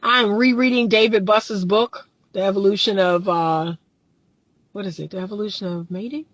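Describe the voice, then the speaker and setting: A woman's voice: medium pitch, steady tone, normal speed, no room sound. A woman in her thirties, a relationship coach, talking bluntly and casually from her car, reading viewer comments, laughing often. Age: 30s.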